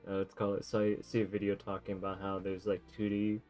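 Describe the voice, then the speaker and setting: A man's voice: fairly low pitch, completely flat delivery, fast talker, a little mellow. Laid-back young man rambling over gameplay. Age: young adult. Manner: rambling, loose, laughs often. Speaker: game streamer. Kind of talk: casual commentary over gameplay. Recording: game music in background.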